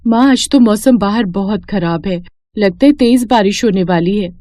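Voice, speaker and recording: A woman voicing a brave, dutiful fifteen-year-old village girl with a soft, polite, earnest manner in a cartoon story. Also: medium pitch, moderate pace, dry recording, clean studio sound, lightly acted. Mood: earnest, concerned.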